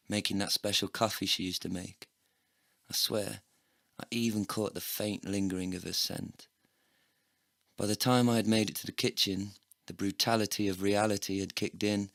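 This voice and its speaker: An actor playing a bitter, heartbroken, foul-mouthed man, narrating a scripted comedy audio play in a sardonic, deadpan way. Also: deep voice, dry recording, clean studio sound.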